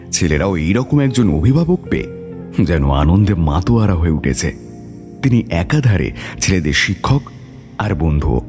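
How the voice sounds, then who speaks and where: A man's voice: deep voice, darkish tone. A man reading a scripted story as its narrator, with dramatic delivery.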